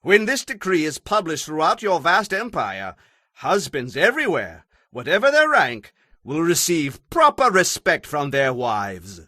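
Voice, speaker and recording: A man's voice: fairly high pitch, huge pitch swings, a little slow, pushed and bright, no room sound, studio quality, heavily acted. A man playing an indignant, self-important royal advisor in an audio Bible drama, with oratorical, emphatic delivery. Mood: formal urging.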